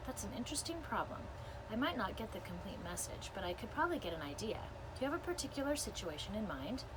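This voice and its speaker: A woman voicing a genial, patient male researcher and author in a storybook, with a polite, gentle, friendly manner. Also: medium pitch, very animated, fast, background noise, pitched above the performer's own voice, lightly acted. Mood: thoughtful, helpful.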